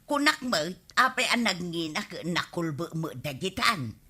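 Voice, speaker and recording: A forceful, rough-edged woman's voice plays a stubborn village customer out for a bargain. It leaps around in pitch with insistent, grumbling, tight-fisted temper, suited to a comedy radio drama. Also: big pitch swings, moderate pace, heavily acted. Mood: stubborn haggling.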